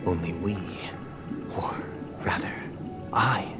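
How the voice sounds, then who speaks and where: A man playing a solemn, courteous young zealot leader in a video game, speaking formally with a preaching, gently condescending manner. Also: low voice, slow. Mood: grave, preaching. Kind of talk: scripted video game dialogue. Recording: background music under the voice.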